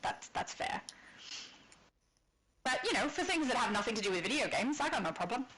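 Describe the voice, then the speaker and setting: A woman co-hosting a casual livestream and reading chat comments aloud, chatty with frequent giggles and a high soprano voice. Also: big pitch swings, brisk, a crowd in the background. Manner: giggly, chatty, laughs often. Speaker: stream co-host.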